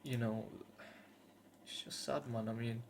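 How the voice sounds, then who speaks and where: A man's voice: medium pitch, steady tone, unhurried. A British man in his twenties talking casually and earnestly to camera, hesitant and rambling, with faint background noise. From United Kingdom. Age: 20s. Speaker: vlogger.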